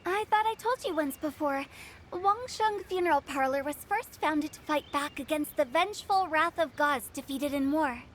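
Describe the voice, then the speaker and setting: A woman's voice: high-pitched, normal speed, slight background noise, close to an everyday voice. A woman voicing a playful, mischievous young funeral director in a video game, teasing and spinning old tales. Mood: storytelling. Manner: teasing, theatrical, then storytelling. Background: game music.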